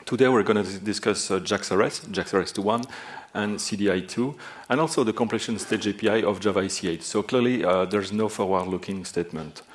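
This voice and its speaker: A man's voice: fairly low pitch, brisk, few pauses. A Belgian man with a French accent giving a technical talk on a conference stage, hesitant, with many filler sounds. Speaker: conference speaker. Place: large conference hall stage.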